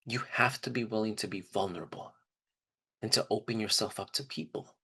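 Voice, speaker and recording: A man's voice: on the low side, a little fast, sharp tone, slightly rough. A man telling personal stories in a casual, conversational way, candid and openly emotional. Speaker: trip leader for student service trips.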